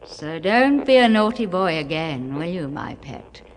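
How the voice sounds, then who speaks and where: An actress playing a coolly vengeful wife in a 1970s radio horror play, cooing condescending endearments with sweet cruelty on a noisy old recording. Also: female voice, fairly low pitch, big pitch swings, measured pace. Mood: menacing, gloating.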